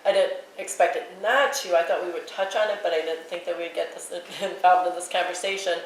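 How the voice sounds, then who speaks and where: An earnest woman host sums up a group discussion in a slightly low voice. She sounds far from the mic in a very echoey room with faint noise behind. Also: steady tone, brisk. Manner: earnest, appreciative, wraps up the discussion. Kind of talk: round-table discussion.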